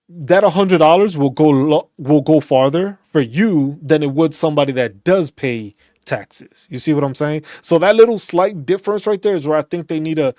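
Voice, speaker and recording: A man, a veterans' channel host, speaking in a casual, animated rant, often restarting and repeating phrases. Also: on the high side, no echo, studio quality. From New York City. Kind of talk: casual opinion talk.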